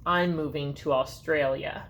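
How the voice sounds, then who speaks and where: A woman's voice: low voice, fairly even intonation, measured pace, slight background noise, pitched below the performer's own voice. A woman voicing a grumpy, put-upon young boy in a storybook, complaining with whiny emphasis. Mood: spiteful.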